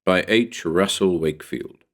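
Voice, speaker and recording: A man hosting horror story readings, giving a scripted introduction in a measured, theatrical storyteller's manner. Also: low voice, a little hiss or hum.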